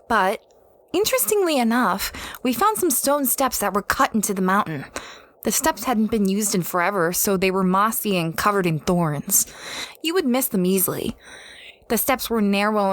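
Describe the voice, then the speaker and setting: A woman's voice: on the high side, close to an everyday voice. A woman playing a young female space adventurer in an audio drama, conversational and a little hesitant, anxious and evasive. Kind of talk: audio drama acting and narration.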